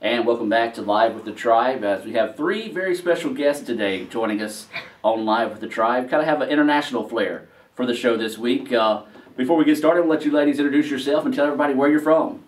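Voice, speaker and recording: A man's voice: mid-range voice, fairly quick. A man hosting a casual interview show, asking folksy questions with lots of fillers. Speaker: talk show host. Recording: roomy, distant, faint hiss.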